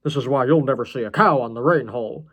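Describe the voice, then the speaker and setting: A man's voice: mid-range voice, quick pace, fairly bright tone, close to an everyday voice. Man as crazed grandpa, childish, folksy, aggrieved, menacing, storybook character. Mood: wounded, accusing. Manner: folksy, aggrieved, calls people little buddy.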